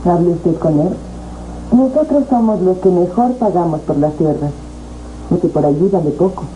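A woman's voice: fairly low pitch, normal speed, mellow, muffled recording, close to an everyday voice. A woman playing a businesslike, persuasive buyer's agent in a 1970s telenovela. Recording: noisy old TV videotape.

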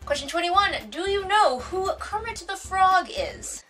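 Wry, high-voiced woman with a Wisconsin accent. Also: big pitch swings, measured pace, pushed and bright, distant mic, faint music behind. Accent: Wisconsin American. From United States. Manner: wry, reading questions aloud then answering off the cuff. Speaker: vlogger. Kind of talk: casual question tag vlog. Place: home room with bookshelves, indoors. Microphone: camera mic at a distance.

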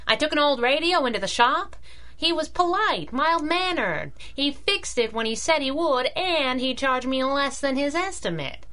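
A woman's voice: sits high, sing-song, moderate pace, pushed and bright, heavily acted. A woman playing a plucky, sassy crime-fighting sidekick in a pulp radio drama, with wry, slangy, wisecracking delivery. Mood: matter-of-fact. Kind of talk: 1930s-style pulp radio drama acting.

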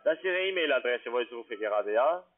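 A man's voice: medium pitch, animated, fairly quick. Non-native man on a tinny phone line. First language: not German. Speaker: call centre sales agent. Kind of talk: phone sales call in halting non-native German.

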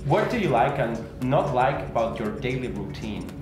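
Guy hosting an interview, animated voice, music playing under it. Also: mid-range voice, moderate pace, reverberant. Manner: cheerful, laughs often, brief questions. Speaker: interview host. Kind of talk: casual interview questions. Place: indoor office lounge. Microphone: lapel mic.

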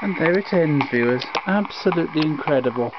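Man with a very animated, swooping delivery. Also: on the high side, brisk. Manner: theatrical, mock-formal announcer address. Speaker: collector presenting antique phonograph cylinders. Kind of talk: video presentation to viewers. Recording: dull lo-fi sound with faint noise.

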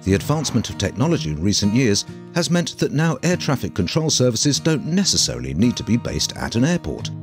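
A man's voice: mid-range voice, sing-song, fairly quick, few pauses. A man reading scripted documentary narration in a formal, informative manner. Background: guitar music.

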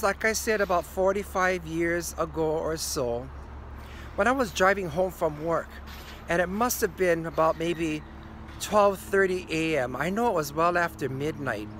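Older hawaiian guy telling a spooky story, kinda high voice, faint hum behind. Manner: slow, hushed at key moments. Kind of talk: spoken ghost story. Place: green screen studio. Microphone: facing camera at close range.

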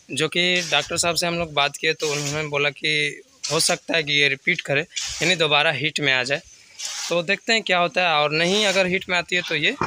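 A man's voice: a little high, noisy background. A man with a Bihari Hindi accent hosting his own channel, walking through his cattle shed and explaining things in a casual, conversational way, mixing in English words. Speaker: dairy farmer and channel host. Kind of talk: casual walkthrough vlog. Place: open-sided cattle shed outdoors.

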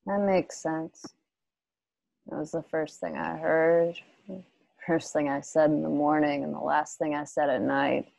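An actress plays a quietly resolute western widow in a radio drama. Her low voice is slow and mellow, with halting, terse phrasing that keeps grief guarded. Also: level tone, gravelly, dry recording, exaggerated voice. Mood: wistful. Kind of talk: radio play acting. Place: home, on a group video call.

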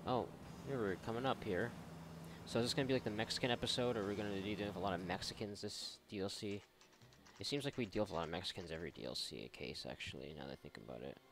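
A man's voice: deep voice, quick pace, vocal fry. A man giving casual, rambling and sarcastic let's play commentary, swearing freely as he goes. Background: video game ambience.

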